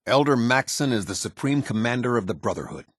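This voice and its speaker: A man voicing a stern, disciplined military captain in a video game, with a husky voice and a clipped, commanding, formal delivery. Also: medium pitch, expressive, fairly quick, dry recording, studio quality. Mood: solemn, proud. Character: stern male military captain, disciplined, exacting, loyal to his order.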